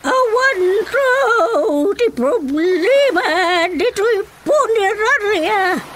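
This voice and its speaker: A chatty mother with a third eye, speaking in a very high, swooping sing-song voice. She draws her words out slowly and dramatically. Music plays under this radio comedy. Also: expressive, fairly bright tone, a little hiss or hum, full character voice. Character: middle-aged mother who sees with a third eye.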